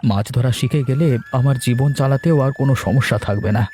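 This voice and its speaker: A man voicing an exhausted, poor village man in a cartoon, halting and plaintive. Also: medium pitch, mellow, slight background noise, natural voice. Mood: downcast. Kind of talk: cartoon dubbing.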